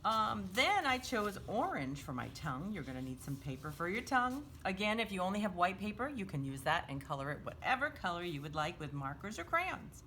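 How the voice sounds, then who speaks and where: A woman's voice: mid-range voice, sing-song, fairly quick, few pauses, pushed and bright, slight background noise. A woman hosting a craft storytime for young children, giving simple, encouraging step-by-step instructions and often referring to herself in the third person.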